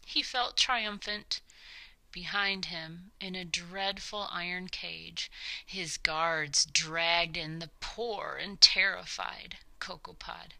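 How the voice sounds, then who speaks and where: A woman narrating a children's story expressively, with theatrical, deliberate phrasing. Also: fairly low pitch, steady tone, creaky, sharp tone, low-quality recording.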